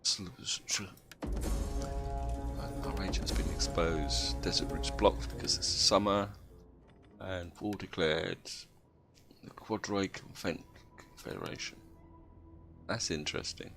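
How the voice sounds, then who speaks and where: A man with a cold and a slightly croaky voice, calmly explaining his moves as he plays a strategy game. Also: low-pitched, takes it slowly, long pauses, music in the background. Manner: steady, explanatory, thinks aloud. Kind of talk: gameplay commentary.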